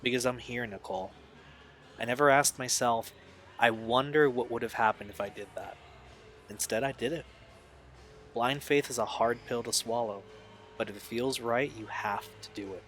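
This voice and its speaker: An actor playing a troubled young man in an audio drama, speaking in an earnest, halting, confessional way. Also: medium pitch, fairly bright tone, soft background music, natural voice. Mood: quiet, resolute. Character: Steve, troubled young man recalling a painful family past.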